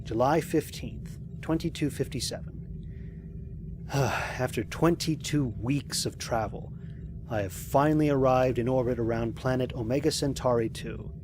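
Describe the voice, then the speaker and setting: A man playing a lone spaceship commander and scientist in an audio drama, recording mission logs in a measured, reflective, precise way. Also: mid-range voice, background noise, natural voice. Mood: weary, reflective. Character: lone male spaceship commander, thoughtful scientist, quietly amazed.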